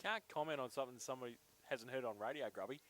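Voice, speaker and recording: A man's voice: medium pitch, rapid-fire, a bit bright. A man with an Australian accent calling an Australian rules football match live as a play-by-play commentator.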